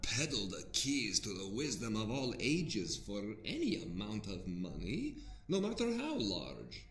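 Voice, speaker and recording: A sweeping, lecturing old scholar. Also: male voice, on the low side, huge pitch swings, a little slow, fairly bright tone, exaggerated voice. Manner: archaic, grandly lecturing speech. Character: old male scholar with an ancient scroll, learned and earnest. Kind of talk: audio drama acting. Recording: roomy reverb, music bed.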